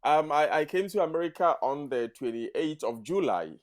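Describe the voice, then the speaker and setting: A man, a math teacher talking as a podcast guest in an earnest, measured way, using full formal sentences. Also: a little high, expressive. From Ghana. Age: 30s. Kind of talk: podcast interview.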